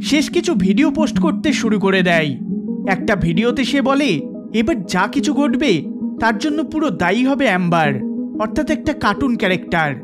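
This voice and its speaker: A man with a very high-pitched voice delivers scripted documentary narration. He tells the story dramatically, and loud music plays behind him throughout. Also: very animated, fairly quick. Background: loud background music.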